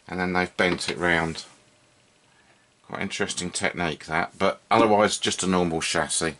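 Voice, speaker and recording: Low-voiced man thinking aloud over background noise. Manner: hesitant, thinking aloud, understated. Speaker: host of a hobby radio repair video. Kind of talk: casual unscripted demonstration commentary. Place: indoors at a workbench.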